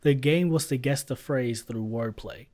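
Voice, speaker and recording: A man's voice: medium pitch, measured pace, a little mellow. A man giving casual voice-over commentary as a video reviewer, blunt and sarcastic, swearing freely. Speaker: YouTube reviewer.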